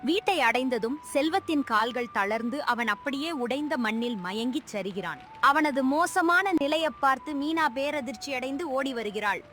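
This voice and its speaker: A woman's voice: high-pitched, lively intonation, normal speed, bright, forceful tone, slight background noise. A woman narrator telling a scripted story in a dramatic style.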